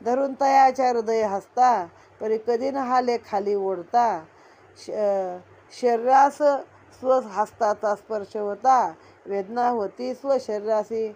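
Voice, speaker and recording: A woman reading a devotional verse story aloud in a measured, sing-song recitation. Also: on the high side, fairly even intonation, darkish tone. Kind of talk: slow rhythmic reading of religious verse.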